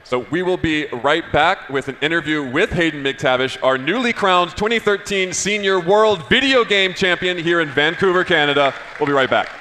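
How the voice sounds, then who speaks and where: Bright-voiced man commentating in an echoing hall. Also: fairly high pitch, normal speed, hardly any pauses. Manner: enthusiastic, congratulatory. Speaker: tournament commentator and interviewer. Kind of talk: live esports commentary and interview. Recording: hall reverb, background noise.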